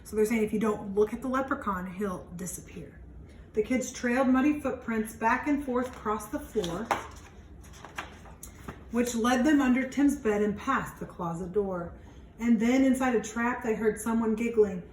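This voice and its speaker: A woman teacher reading a children's storybook aloud, pausing to explain things simply to young listeners. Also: a little high. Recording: roomy, distant mic, faint background noise.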